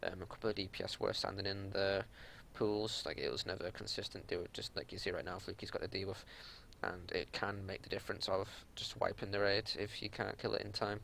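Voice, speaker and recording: A man with a low-pitched voice and a heavy creak talks through game footage as a raid leader. He explains tactics off the cuff in a plain, matter-of-fact way. There is faint noise and rumble in the home recording. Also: little pitch movement, brisk, a bit bright. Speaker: raid leader giving a strategy walkthrough. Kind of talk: unscripted gameplay commentary.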